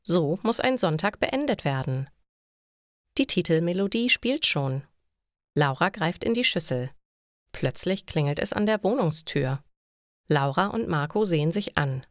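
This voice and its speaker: A woman's voice: on the low side, animated, a little slow, no room sound, clean studio sound. A woman narrating scripted short stories for language learners.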